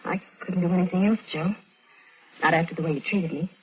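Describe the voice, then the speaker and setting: Wounded american ex-wife in an old radio play, soft-hearted, muffled lo-fi sound. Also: female voice, lowish voice, steady tone, brisk, slightly rough. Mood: hurt, wavering. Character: hurt, yielding ex-wife and mother, still soft-hearted. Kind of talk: 1940s-50s radio drama acting. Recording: dull lo-fi old radio recording.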